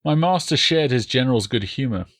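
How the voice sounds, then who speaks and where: A man's voice: medium pitch, lively intonation, brisk, fairly bright tone. A man narrating a historical novel as a scripted audiobook.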